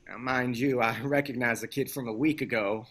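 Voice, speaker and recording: A man acting out a wisecracking ex-soldier turned activist in a drama. He talks casually and profanely at a middle pitch, as in ordinary conversation. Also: steady tone, moderate pace, a bit bright, natural voice. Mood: wry storytelling. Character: gruff, wisecracking male activist McKenna, an ex-soldier comforting his dying lover. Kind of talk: screenplay table read acting.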